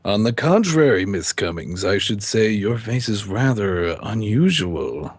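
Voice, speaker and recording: Man playing stern, expressive middle-aged radio-drama judge. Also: lowish voice, husky, no room sound, exaggerated voice. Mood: composed. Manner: formal, measured delivery. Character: stern male judge, weary husband confiding his downfall. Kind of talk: old-time radio drama acting and first-person narration.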